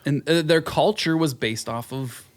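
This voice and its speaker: A man's voice: fairly high pitch, normal speed. A man, a naturalist guest in casual chat, sarcastic and rambling with lots of "like.".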